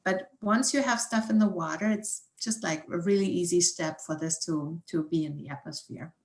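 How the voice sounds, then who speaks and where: A woman's voice: fairly low pitch, moderate pace. Echoey-sounding woman with a German accent. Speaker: guest environmental scientist and researcher. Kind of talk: informal explanatory science talk, answering questions.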